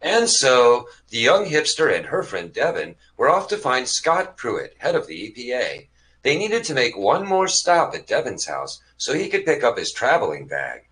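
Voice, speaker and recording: A man's voice: medium pitch, a bit bright, rough recording. A man narrating comedy sketches in a theatrical, mock-announcer style.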